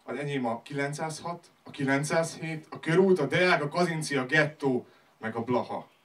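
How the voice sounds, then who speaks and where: A man's voice: fairly high pitch, a bit bright. A man performing slam poetry on a club stage in a declamatory, rhythmic delivery. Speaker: slam poet. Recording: live club recording, distant from mic.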